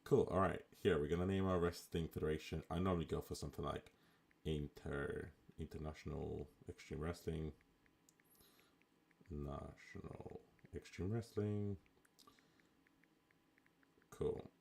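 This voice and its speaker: A man with a raspy voice, chatting casually as a live streamer while he plays a management game. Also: a bass voice, unhurried, long pauses, slight background noise. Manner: relaxed, chatty, with fillers. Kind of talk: casual live gameplay commentary.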